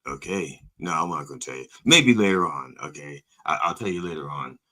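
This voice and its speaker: A hoarse man with a deep, low voice that still comes through bright and forceful. He rambles through a mock sermon, swearing freely and breaking off mid-thought to start again. It sounds like a casual podcast from a home setup. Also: lively intonation, fairly quick. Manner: rambling, profane, self-interrupting. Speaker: comedic podcast host playing a reverend.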